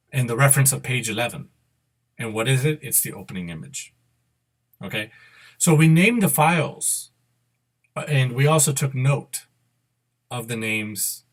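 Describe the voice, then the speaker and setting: Middle-aged male presenter for a software tutorial, unscripted step-by-step delivery with self-corrections, mid-range pitch. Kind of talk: step-by-step screen demo narration. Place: indoor room at a computer.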